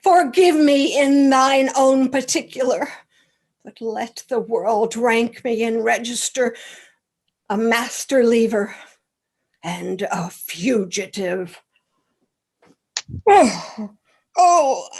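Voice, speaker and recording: A remorseful turncoat soldier, played by a woman, lamenting in grand Shakespearean style for a radio play. The delivery is anguished and very drawn out, in a fairly high voice. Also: animated, slightly rough, full character voice. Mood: dying cries. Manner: slow, anguished, declamatory. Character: Enobarbus, remorseful soldier who deserted his master.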